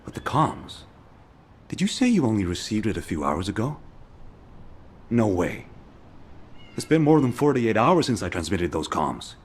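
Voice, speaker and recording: A low-pitched, battle-worn soldier from a video game. He talks bluntly and earnestly, with a frank, coarse edge. Faint noise sits behind the voice. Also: very animated, moderate pace, long pauses. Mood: agitated, incredulous. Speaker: recon squad soldier.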